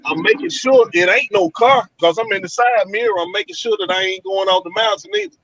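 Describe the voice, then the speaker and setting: A man from Georgia telling of a frightening night encounter over a call from his van, in animated, colloquial speech. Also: high voice, brisk, lo-fi sound. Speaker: guest telling an encounter story. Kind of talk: casual storytelling over a call.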